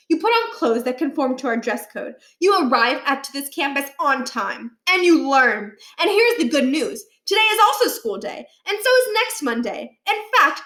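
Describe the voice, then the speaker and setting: Storybook principal, female reader: older man, stern, pompous, formal, commanding, raised pitch, echoey room. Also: a bit bright. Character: stern, humourless older male school principal.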